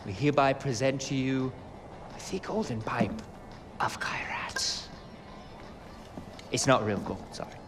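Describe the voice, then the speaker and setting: Excitable, high-pitched stoner. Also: male voice, moderate pace, background noise. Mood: mock-ceremonial. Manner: excitable, mock-pompous. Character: giddy, reckless drug-experimenting stoner man, mock-grand. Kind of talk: video game cutscene acting.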